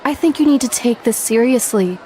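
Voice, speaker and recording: A woman's voice: a little high, expressive, a little fast, dark tone, a faint crowd, close to an everyday voice. A woman playing a driven, serious young aspiring wrestler in a video game, earnest and no-nonsense. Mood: earnest, determined. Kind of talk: scripted video game cutscene acting. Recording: faint background noise.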